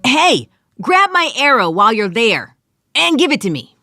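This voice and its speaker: A woman acts out a bossy, battle-worn fantasy archer with a bright, forceful voice. The pitch runs high and swings widely, the delivery is unhurried and wry, and the sound is dry and close. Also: very animated, no room sound, faint music behind, heavily acted. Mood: brusque, wry. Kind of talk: dramatized tabletop adventure dialogue.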